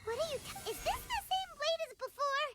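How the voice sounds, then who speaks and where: A woman voicing a tiny, excitable, blunt fairy girl companion in a video game, in a squeaky childlike voice full of chirpy exclamation. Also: fairly quick. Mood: curious, blunt. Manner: chirpy, wide swings, exclaiming. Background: music.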